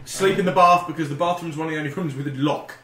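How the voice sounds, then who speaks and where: A man's voice: on the high side, fast, bright, forceful tone, distant mic, a little hiss or hum. A man co-hosting a podcast, trading sweary, joking banter with friends. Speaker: podcast co-host. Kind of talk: casual banter among friends. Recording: echoey room.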